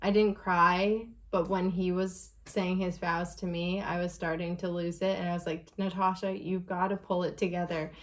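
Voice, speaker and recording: A woman's voice: fairly low pitch, little pitch movement, normal speed, hardly any pauses. A young adult woman hosting a vlog, telling a personal story in a casual chat with her partner. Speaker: vlog host.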